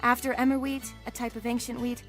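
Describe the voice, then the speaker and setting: A woman's voice: fairly high pitch, flat delivery, brisk, faint music behind, natural voice. A woman playing a courteous palace attendant in a comic dub, giving patient, orderly instruction. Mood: courteous, informative.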